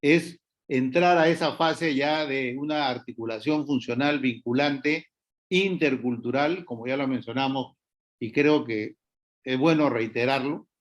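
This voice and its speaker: A man's voice: mid-range voice, unhurried, dull audio. A man giving panel remarks, hesitant, with many fillers and restarts.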